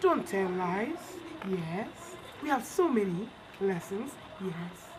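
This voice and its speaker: A woman's voice: mid-range voice, sing-song, slow pace, crowd noise, poor audio. A woman telling a story to children and repeating its lessons emphatically, like a teacher. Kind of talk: bedtime storytelling and question-and-answer with children. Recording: clear background noise.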